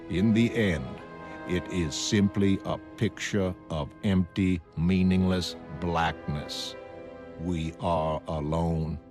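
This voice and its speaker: A man playing a troubled, weary psychiatrist who narrates his private notes in a motion comic, measured and brooding. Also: low voice, fairly even intonation, music in the background. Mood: despairing, intense. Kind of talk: scripted diary narration in an animated motion comic.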